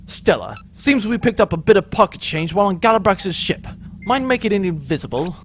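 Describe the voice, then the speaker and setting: A male actor plays a cocky space pirate captain in an audio adventure. The voice sits a little high and has plenty of fry. He is breezy, wry and flippant. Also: sing-song, a little fast, a little hiss or hum. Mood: breezy, commanding.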